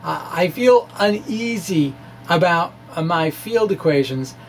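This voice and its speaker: A man presenting a physics lecture informally, with animated emphasis and a halting delivery full of stammered restarts. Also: fairly high pitch, slight background noise. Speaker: amateur physicist presenting his own theory. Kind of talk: informal physics lecture, reading of a blog talk.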